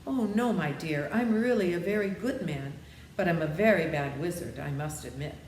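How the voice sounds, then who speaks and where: A woman's voice: on the low side, moderate pace, a little mellow, echoey room, a little hiss or hum, lightly acted, poor audio. A woman voicing an old humbug wizard in a storybook, meek and apologetic, explaining things kindly.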